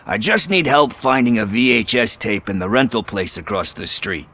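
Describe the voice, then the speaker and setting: A scruffy, streetwise informer in a game drama. His voice sits a little low but has a bright, forward edge. He is chatty and sardonic, tossing out slang with a wry, casual manner. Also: expressive, moderate pace. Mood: cajoling. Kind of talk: video game dialogue acting.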